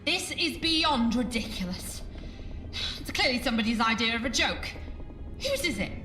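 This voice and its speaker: A woman plays a sceptical, bossy ghost-show presenter in an audio drama. Her high, animated voice is brisk and indignant, with roomy echo and music behind.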